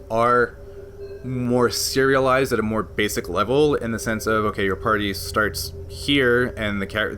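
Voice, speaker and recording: Bright-voiced man explaining game rules. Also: lowish voice, a little hiss or hum. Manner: explains at length, laughs often. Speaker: game master and podcast host. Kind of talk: casual podcast explanation.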